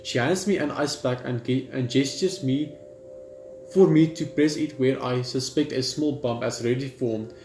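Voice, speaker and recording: A man's voice: mid-range voice, normal speed, a little mellow, a little hiss or hum. A man playing a game on video, commenting and reading the story text aloud, tripping over words now and then. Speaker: gaming video host. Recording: roomy echo, faint game music.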